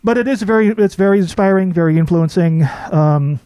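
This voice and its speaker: A man in a band being interviewed casually, deadpan and hesitant. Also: high-pitched, brisk, clean tone, no echo.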